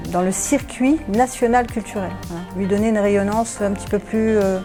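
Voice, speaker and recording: A woman giving unscripted interview answers as a theatre official, explaining in a halting, hesitant way. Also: mid-range voice, steady tone, music in the background.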